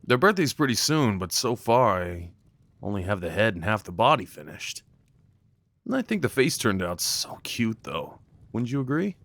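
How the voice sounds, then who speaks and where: Lively, affectionate boyfriend played by a man, close and dry. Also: fairly low pitch, no echo, exaggerated voice. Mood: fond, pleased. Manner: tender, lightly teasing, rambling. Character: affectionate, playful, crafty boyfriend cuddling his partner. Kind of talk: intimate ASMR boyfriend roleplay.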